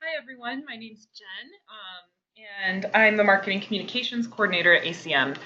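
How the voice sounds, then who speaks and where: A woman's voice: mid-range voice, expressive, brisk, bright, forceful tone, dull audio. A woman introducing herself as a communications coordinator in an online training webinar.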